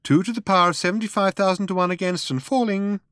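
A man's voice: high-pitched, fast, fairly bright tone, smooth, no room sound, studio quality, voice pushed up. A man voicing a pleasant, sensible female spaceship announcer with a reassuring stewardess-like manner in an audiobook. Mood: calm, pleasant.

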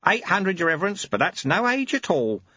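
A man voicing a very old monk who speaks in a calm, patient and dryly humorous way. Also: high voice, moderate pace, husky, no echo, muffled recording, pitched above the performer's own voice, heavily acted. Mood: calm, wry.

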